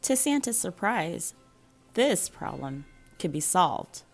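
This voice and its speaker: Expressive woman reading a storybook, unhurried. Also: fairly low pitch, gravelly, soft background music. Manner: measured, animated storytelling with dramatic pauses. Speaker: school librarian reading a picture book aloud. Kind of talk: expressive children's storybook read-aloud.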